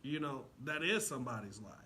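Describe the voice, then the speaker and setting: A man's voice: mid-range voice, expressive, fairly quick, sharp tone, a little hiss or hum. A man talking casually but with passion as a show guest, emphatic and repeating phrases for effect. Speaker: stage actor, show guest. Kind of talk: impassioned casual talk.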